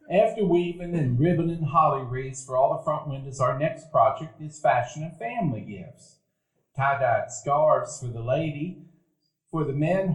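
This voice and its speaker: Casting: actor as a reminiscing narrator; slightly high male voice, roomy hall. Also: lightly acted. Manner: calm, reminiscent storytelling. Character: grown Buddy recalling his boyhood. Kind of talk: radio play narration. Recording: roomy live stage recording.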